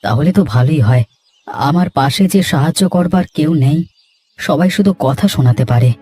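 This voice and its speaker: A man's voice: fairly high pitch, fairly quick, mellow, dry recording, clean studio sound, lightly acted. A man playing a simple, troubled young villager in a cartoon, speaking in a humble, plaintive way. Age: young adult. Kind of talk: Bengali moral-story cartoon voice acting.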